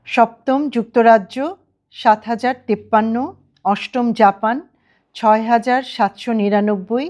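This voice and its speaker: A woman's voice: a little high, steady tone, a little slow, darkish tone. A woman news presenter with a Bangladeshi accent reading scripted news items.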